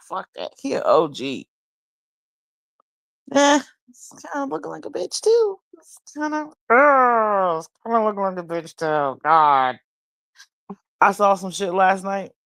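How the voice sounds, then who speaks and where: A woman hosts a late-night stream, speaking slowly and bluntly with plenty of profanity. She is high and drifts through her thoughts with restarts and pauses. The sound is dry and close, with no room around it. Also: no room sound. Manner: blunt, profane, slow and musing with frequent restarts. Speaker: livestream host. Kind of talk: late-night casual commentary. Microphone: streaming mic.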